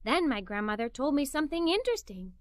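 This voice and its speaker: A woman reading a children's story in an expressive, scripted narrating style. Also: sits high, fast, no echo. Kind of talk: scripted read-aloud story narration for kids.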